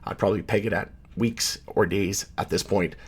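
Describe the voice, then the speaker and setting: A man with a somewhat low, hoarse voice hosts a casual commentary on market charts. He rambles easily, leans on fillers and stops to correct himself mid-sentence. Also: steady tone, moderate pace. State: hoarse, losing his voice. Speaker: channel host, market commentator.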